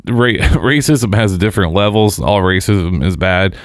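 The low-voiced guy at the podcast table, blunt and joking, his mic in a dry, close-sounding room. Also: measured pace, no room sound. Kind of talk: casual opinionated group chat.

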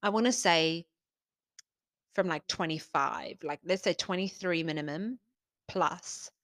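A woman's voice: deep voice, no room sound, clean studio sound. A young woman who grew up in South Africa and lives in Australia, with an Australian accent that has South African traces, co-hosting a casual podcast and chiming in with emphatic remarks. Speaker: podcast co-host. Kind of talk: casual chat.

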